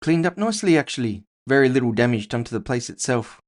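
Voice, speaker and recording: A big, chrome-tusked orc fighter voiced by a man for a cyberpunk audio drama. He is amiable and unruffled, a softie at heart, and his voice sits noticeably higher than the narrator's own. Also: expressive, fast talker, lightly acted. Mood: casual. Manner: amiable, unperturbed. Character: hulking male cyborg orc boxer, friendly teddy bear.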